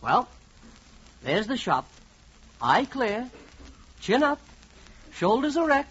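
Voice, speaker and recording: A man's voice: very high voice, very animated, slow pace, fairly bright tone, breathy edge. A man narrating a 1940s radio comedy in a wry, conversational, second-person style. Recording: muffled, faintly noisy 1940s radio recording.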